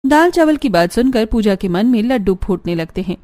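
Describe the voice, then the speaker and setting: A woman's voice: medium pitch, animated, a little fast, darkish tone. A woman narrator reading a scripted cartoon family story.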